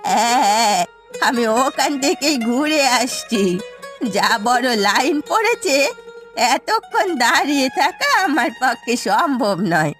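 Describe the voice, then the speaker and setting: A high, very animated cartoon voice from a woman of an old village grandmother. She speaks slowly and dramatically, querulous and suspicious, with a scolding edge. Faint music plays behind her. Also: exaggerated voice. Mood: self-pitying. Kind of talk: Bengali cartoon voice acting.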